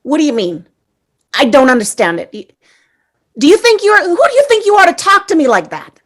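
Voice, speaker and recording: A woman's voice: sits high, fairly bright tone. A woman in a drama table read playing a brash, hot-tempered male juror, sarcastic, indignant and emphatic.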